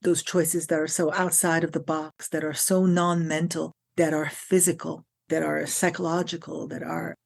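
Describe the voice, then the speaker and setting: Articulate woman, lowish voice. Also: level tone, moderate pace, vocal fry, few pauses. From France. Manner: articulate and emphatic, builds long lists of phrases. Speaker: guest, acting teacher and film director. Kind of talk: podcast interview.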